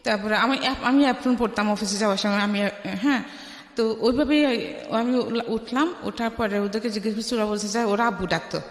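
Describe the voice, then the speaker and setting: A woman with a Bangladeshi Bengali accent tells her life story as a radio interview guest, haltingly, restarting phrases and dropping in English words. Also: on the high side. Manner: halting, with repeated false starts and English words mixed in. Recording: echoey with long reverb.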